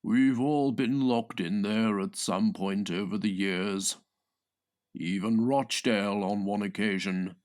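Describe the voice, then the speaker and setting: An earnest, discreet sidekick in a comic audiobook, played by a male narrator. His voice sits fairly low and moves slowly, as if quietly confiding a matter-of-fact secret. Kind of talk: comic audiobook character reading.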